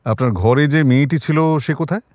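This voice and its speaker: Actor as a terse, commanding police detective. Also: male voice, fairly high pitch, fairly quick, darkish tone, no echo, studio quality, natural voice. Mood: stern. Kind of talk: Bengali audio drama acting.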